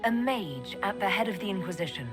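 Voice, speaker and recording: An actress playing a sharp, sardonic female elf mage who speaks in a dry, curt, skeptical way in a video game cutscene. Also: medium pitch, lively intonation, brisk, natural voice. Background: game score music.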